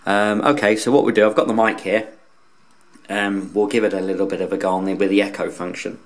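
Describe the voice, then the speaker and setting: Male product reviewer, lowish voice, informal and unscripted, plain-spoken, feels his way through sentences. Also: fairly even intonation, fairly quick. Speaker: radio hobbyist reviewer.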